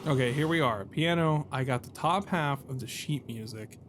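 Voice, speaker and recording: A man's voice: medium pitch, normal speed, a bit bright, a little hiss or hum. A man giving casual let's-play commentary, thinking aloud in a rambling way with self-deprecating jokes. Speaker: video game streamer. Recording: music behind the voice. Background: game music.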